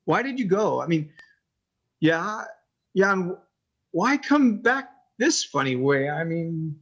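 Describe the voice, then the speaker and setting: Man acting a high-pitched naive devoted son. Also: a bit bright, highs cut off, full character voice. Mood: overjoyed, bewildered. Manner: halting, stammering, earnest, folksy. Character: naive, simple, devoted son pining for missing mother. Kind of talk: old time radio horror acting.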